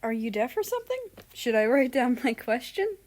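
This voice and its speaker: A woman's voice: on the high side, big pitch swings, normal speed, a little mellow, voice pushed up. A woman voicing a cocky, sulky young prince from a story, with an indignant, teasing manner.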